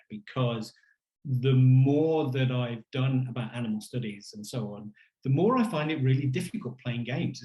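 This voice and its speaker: A man's voice: medium pitch, a little mellow. A man answering questions as an academic keynote speaker, conversational and discursive, circling and correcting himself as he goes. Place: indoor room on a virtual conference call. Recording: roomy, echoey video call sound.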